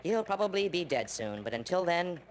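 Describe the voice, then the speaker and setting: An actor voicing an earnest, excitable male chicken who reports like a TV news announcer in a cartoon game. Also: high voice, fairly quick. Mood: earnest reporting. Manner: dramatic announcer delivery. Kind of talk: mock TV news report and live event commentary. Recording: noisy game cutscene audio.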